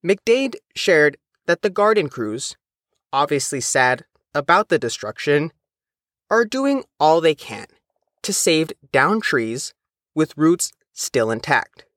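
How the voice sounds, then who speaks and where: Guy reporter reading a news story, careful and measured, lots of pitch movement, very dry recording. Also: big pitch swings, fairly bright tone, dry recording. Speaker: reporter and narrator. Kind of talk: scripted news feature narration.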